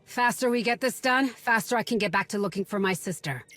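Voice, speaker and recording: A woman's voice: mid-range voice, brisk, fairly bright tone, no room sound, faint music behind, lightly acted. An actress playing a guarded, bitter young woman in a video game, blunt, sardonic and distrustful. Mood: determined. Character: guarded, bitter young local woman searching for missing sister. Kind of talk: video game cutscene acting.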